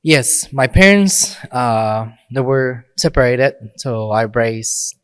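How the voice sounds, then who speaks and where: A man's voice: mid-range voice, animated, takes it slowly, clear, resonant tone, dry recording. A young adult man from Mexico, a priest and podcast guest, who speaks English as an in short phrases and laughs often. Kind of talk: casual interview talk.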